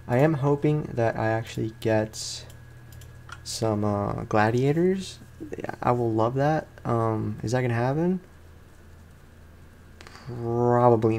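A man's voice: mid-range voice, a little mellow, a little hiss or hum. A young man, sounding tired, gives casual let's play commentary as a gaming host, rambling along in slangy, informal speech.

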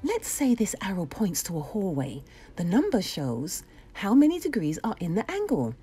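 Earnest young storybook squire boy, played by a woman, eager, mellow tone, animated intonation. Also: medium pitch, normal speed, husky, full character voice. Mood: explaining.

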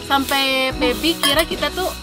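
A woman's voice: sits high, moderate pace. A young woman chatting casually outdoors as a guest, playful and teasing. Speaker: guest, former cooking YouTuber. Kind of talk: casual chat. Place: outdoors on a lakeside field. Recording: background music.

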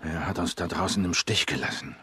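An actor playing a gruff, wary middle-aged survivor in a dramatic video game, terse and skeptical. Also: male voice, deep voice, a little fast, sharp tone, no echo, exaggerated voice. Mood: angry, distrustful.

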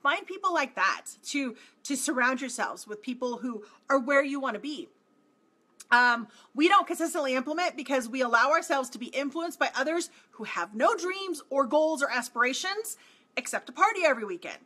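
A woman's voice: sits high, moderate pace. A woman in her forties, a marketing coach talking straight to camera from a home office, emphatic and repeating her points. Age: 40s. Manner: emphatic, repeats phrases, animated with hand gestures. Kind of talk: direct-to-camera motivational talk. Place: small home office indoors.

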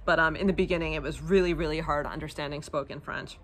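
A woman's voice: low voice, quick pace, fairly bright tone. A woman in her thirties with an American accent, chatting informally and self-deprecatingly as she answers questions in a vlog. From United States. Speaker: vlogger. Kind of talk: casual chat answering viewer questions. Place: outdoors in a leafy garden. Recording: clear outdoor background noise, garden ambience.

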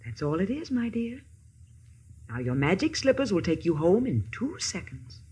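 A woman playing a kindly, wise good witch in a 1950s radio play, measured and soothing. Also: mid-range voice, big pitch swings, dark tone, slight background noise, lightly acted. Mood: serene, reassuring.

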